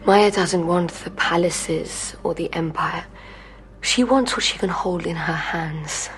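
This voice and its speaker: A woman playing a defiant, dying prisoner in an audio drama, speaking cryptically and taunting her interrogator. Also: lowish voice. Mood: cryptic, taunting. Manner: slow, cryptic, breathy whispering.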